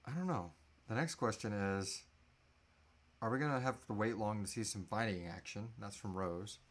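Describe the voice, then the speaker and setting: A man's voice: on the low side, normal speed. A man in his thirties co-hosting a casual live question-and-answer chat, loose and joking, swearing casually, recorded on a device in a small room. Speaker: podcast co-host answering listener questions.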